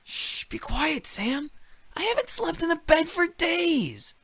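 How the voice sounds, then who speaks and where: A woman's voice: very high-pitched, very animated, slow, a bit bright, no echo, full character voice. A woman voicing a cheerful, boyish young male incubus in a visual novel game. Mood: pleading.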